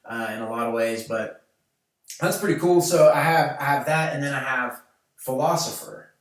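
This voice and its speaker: A podcast host in his late twenties with a twang, talking in a loose, rambling way with plenty of fillers and false starts. His voice sits at an ordinary pitch for a man. He is heard from a distance in a very echoey room. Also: normal speed. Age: late 20s. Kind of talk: casual chat. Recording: echoey room, mic far off.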